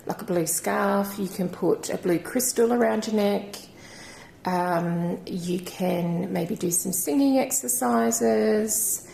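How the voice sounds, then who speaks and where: A woman with a croaky voice giving a channelled crystal reading in a gentle, rambling, reassuring way. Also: mid-range voice, a little hiss or hum. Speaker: intuitive card reader. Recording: echoey room.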